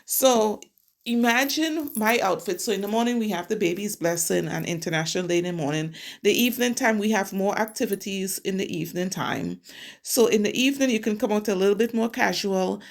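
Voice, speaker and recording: A woman's voice: mid-range voice, brisk, creaky. A middle-aged woman from Trinidad and Tobago, a nail technician chatting casually as she explains each step of her work. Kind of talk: casual nail tutorial narration.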